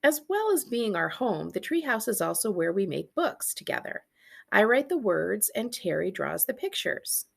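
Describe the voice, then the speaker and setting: A woman's voice: mid-range voice, sing-song, normal speed, gravelly. A woman, a librarian reading a children's book aloud with casual asides.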